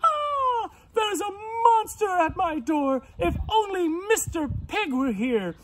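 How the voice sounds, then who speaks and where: A woman's voice: very high voice, sing-song, slow pace, a bit bright, slight background noise, pitched above the performer's own voice, exaggerated voice, poor audio. A woman voicing a frightened, adoring female pig in melodramatic exclamations for a children's story. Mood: terrified, adoring.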